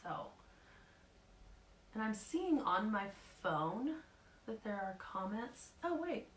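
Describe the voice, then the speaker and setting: A woman talks slowly, her voice a little hoarse. She rambles nervously through a casual live talk, full of ums and restarts. The room around her echoes noticeably. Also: medium pitch, sing-song, a little hiss or hum. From Oklahoma. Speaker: speaker giving a live talk.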